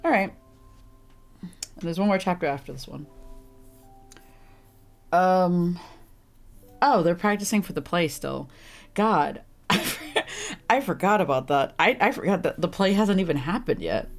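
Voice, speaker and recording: A woman with a deep, rough, slightly hoarse and congested voice, rambling through casual gameplay commentary and laughing at herself often. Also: big pitch swings, measured pace, long pauses, soft background music. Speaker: streamer playing a visual novel.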